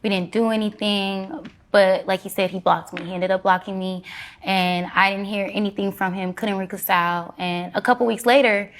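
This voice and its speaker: A woman's voice: medium pitch, fairly bright tone. A woman giving conversational, apologetic testimony as she explains her side of a personal dispute in a TV courtroom. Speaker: plaintiff in a televised court case; hairstylist.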